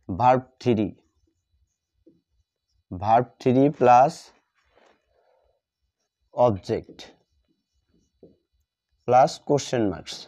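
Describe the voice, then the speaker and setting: A man teaching a grammar lesson step by step, deliberately repeating phrases and answering his own questions. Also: mid-range voice, mellow. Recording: dull lo-fi sound.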